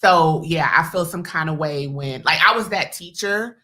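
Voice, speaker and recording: A middle-aged woman with a Southern American accent chats with her husband on a podcast. Her voice sits a little low but comes out bright and forceful, loud and emphatic, and she laughs often. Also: normal speed. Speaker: podcast host. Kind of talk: casual chat with her husband.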